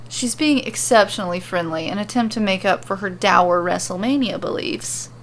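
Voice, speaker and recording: A woman narrates a scripted fantasy tale as its storyteller. Her voice is mid-pitched. Ambient effects and faint noise run behind her. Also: moderate pace, a bit bright. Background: ambient sound effects.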